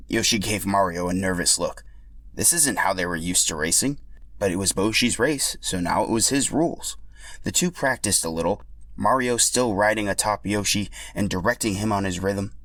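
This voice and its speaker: A man narrating a scripted story for a podcast in an animated, expressive way. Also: lowish voice, moderate pace, no room sound.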